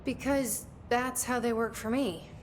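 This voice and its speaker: Woman acting guarded, higher-voiced young American heroine. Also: steady tone, a little slow, a little hiss or hum, lightly acted. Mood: curious, guarded. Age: young adult. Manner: direct, terse. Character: guarded, determined young woman agency director. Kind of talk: video game dialogue acting.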